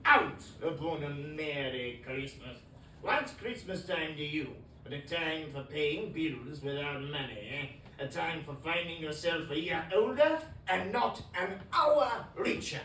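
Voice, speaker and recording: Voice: mid-range voice, expressive, a little slow, slight background noise. Reader putting on miserly old Scrooge. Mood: scornful. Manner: growling, scornful, loud outbursts. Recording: roomy, distant mic, faint ambience.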